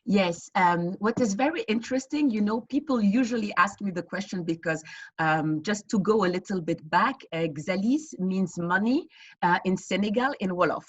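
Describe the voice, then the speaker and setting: Woman founder, husky, earnest explainer, dry muffled video-call sound. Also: medium pitch, moderate pace, no room sound. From West Africa. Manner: earnest, explanatory, many fillers and restarts. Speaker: fintech founder. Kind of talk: online panel interview answers.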